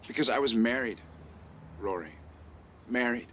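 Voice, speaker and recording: An actor playing a remorseful young husband in a television drama. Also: male voice, medium pitch, a little slow, fairly bright tone, a little hiss or hum, close to an everyday voice. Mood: bitter, self-blaming. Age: young adult. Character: remorseful young husband whose marriage has collapsed.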